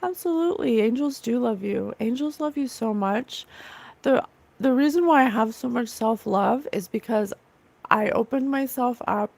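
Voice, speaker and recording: A fairly high-pitched woman with a gravelly, slightly rough and raw voice. She hosts a spiritual livestream in a casual, chatty way. She talks earnestly and gushes about love. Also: darkish tone. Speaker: psychic medium livestream host. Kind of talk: casual livestream chat reading comments.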